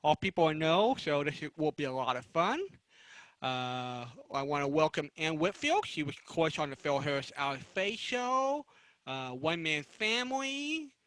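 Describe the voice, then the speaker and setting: A man with a notably high, bright voice hosts a panel discussion. He introduces guests informally and haltingly, with a dry, close sound. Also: lively intonation, no echo. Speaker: panel moderator.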